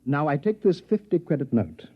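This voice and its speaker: An actor playing an urbane, affable and smug con man with a husky voice in a 1950s radio drama. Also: on the high side, very animated, normal speed. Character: genteel, talkative male con man gone native in jungle. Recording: dull vintage 1950s radio recording.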